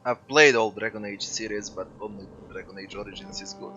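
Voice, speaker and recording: A man, an English speaker, giving low-key, offhand commentary while streaming a game. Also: fairly even intonation, a little fast, dull audio, background noise. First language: Serbian. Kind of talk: casual live-stream commentary.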